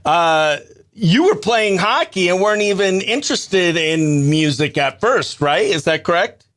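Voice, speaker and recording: Bright, clear-voiced male radio host. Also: high voice, expressive, a little slow. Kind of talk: behind-the-scenes radio show chat and interview.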